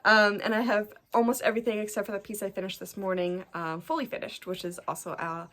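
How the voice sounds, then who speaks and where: A woman's voice: medium pitch, little pitch movement, fast talker, fairly bright tone. A young woman with a stuffed-up nose from allergies, chatting casually to camera as she shows her cross-stitch designs, with lots of ums and small laughs. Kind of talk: casual show-and-tell chat to camera.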